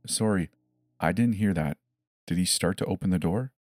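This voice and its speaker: A man's voice: low voice, moderate pace, a little mellow, dry recording, clean studio sound, faint music behind, voice pushed down. A man playing an emergency dispatcher in a dramatized horror story, reassuring and methodical, probing with short questions. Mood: insistent, probing. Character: calm, insistent adult emergency dispatcher questioning a child.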